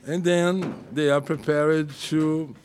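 A man with a Brazilian accent, a musician answering interview questions in halting English with many restarts, his first language being Portuguese. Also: a little high, steady tone, darkish tone, a little hiss or hum. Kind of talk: casual interview.

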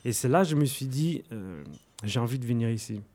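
A young man with an Italian accent answers interview questions as a musician guest. He talks haltingly, stopping often with fillers and restarting his phrases, in a voice of medium pitch. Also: expressive, warm tone. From Italy.

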